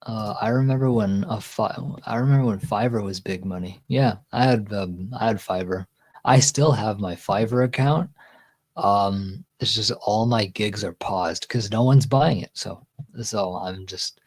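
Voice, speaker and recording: A man's voice: on the low side, measured pace, darkish tone. A man with a Texan accent hosting a casual livestream, reading chat comments aloud and answering them in a rambling way with restarts and a chest voice. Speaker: livestream host.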